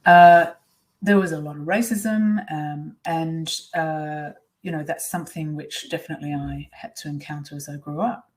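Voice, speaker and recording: A middle-aged woman, whose was Swiss German, telling her own story in a webinar, candid and reflective with many pauses and ums. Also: low voice, fairly even intonation, darkish tone. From Australia. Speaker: webinar presenter.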